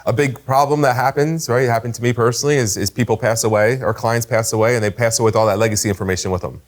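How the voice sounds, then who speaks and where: A man's voice: medium pitch, fast. Emphatic, slangy male sales trainer. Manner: emphatic, animated, tags "right?" often; slangy and informal. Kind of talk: casual training talk.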